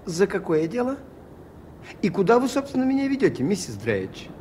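Radio drama: mild priest sleuth, higher male register, courteous, hesitant, inquisitive; slight background noise. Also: wildly swooping pitch, normal speed. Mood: inquiring. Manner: courteous, hesitant, gently questioning. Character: polite, inquisitive, mild-mannered priest detective. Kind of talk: Soviet radio play acting. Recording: faintly noisy 1980s radio recording.

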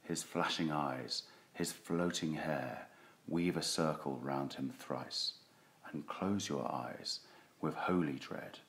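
Very deep-voiced man reciting poetry. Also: a bit bright. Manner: solemn, theatrical, deliberate phrasing. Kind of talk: dramatic classic poetry recitation. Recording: roomy, echoing sound.

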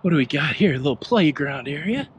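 A middle-aged man talks through a walk in a park, loose and chatty, throwing questions at his viewers and poking fun at himself. His voice sits a little high for a man. The body camera picks up a steady wash of wind and outdoor noise behind him. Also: quick pace. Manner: chatty, self-deprecating, asks viewers questions. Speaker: walking vlog host. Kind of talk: casual walking vlog.

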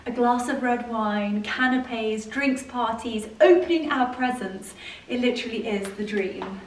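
A woman's voice: a little high, a little slow. A young woman presenting a house tour vlog in an effusive, enthusiastic manner. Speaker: lifestyle vlogger. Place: large rooms inside a house. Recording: roomy, distant camera mic, noisy.

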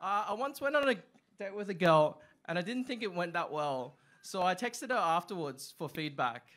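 Young guy doing open mic stand-up, kinda high voice, lots of ums and uhs, on a stand mic. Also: lively intonation, fairly bright tone. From Australia. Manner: halting delivery with many ums and uhs. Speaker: stand-up comic. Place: small dark club stage. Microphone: stand mic, far camera.

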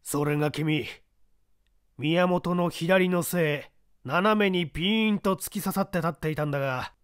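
A man voicing a composed middle-aged gentleman who sets a young applicant a test, speaking in a measured, lecturing way. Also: a little high, clean tone, dry recording, studio quality, natural voice. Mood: probing. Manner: measured, lecturing, poses pointed questions. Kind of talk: audiobook reading of a detective story.

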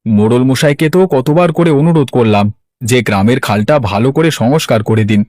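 A man's voice: mid-range voice, fairly quick, darkish tone, dry recording, lightly acted. A man voicing an earnest, worried village farmer in a cartoon, complaining plainly. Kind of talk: Bengali cartoon dialogue.

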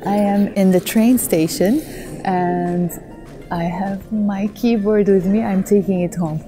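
A woman in her thirties giving a vlog language lesson, talking softly and a little shyly, first in a train station and then on a busy street, into an earphone mic. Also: mid-range voice, steady tone, slow pace, music in the background. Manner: soft-spoken and shy, then louder and brighter outdoors. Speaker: language teacher. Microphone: earphone headset mic.

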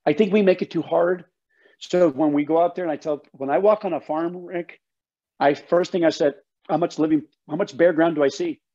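A man's voice: on the high side, fairly even intonation, a little fast, dark tone. An older man, a guest educator explaining things in an emphatic, folksy interview style on a video call. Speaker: guest, soil health educator. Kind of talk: passionate explanatory interview talk. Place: home office, indoors. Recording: slightly dull video call audio.

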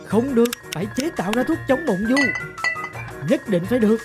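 A woman's voice: a little high, expressive, a little fast, natural voice. A woman voicing an anxious talking pencil in a cartoon, plaintive and exclaiming. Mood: determined, excited. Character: anxious, pleading talking pencil, a younger sibling. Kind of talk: animated cartoon acting. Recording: loud music behind the voice. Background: loud music.

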